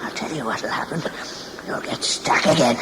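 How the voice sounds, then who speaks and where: A man playing a sly, mocking cave-dwelling scavenger in a sci-fi audio play, teasing with drawn-out questions. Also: mid-range voice, natural voice. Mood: mocking, taunting. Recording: echoey cavernous reverb, noisy background.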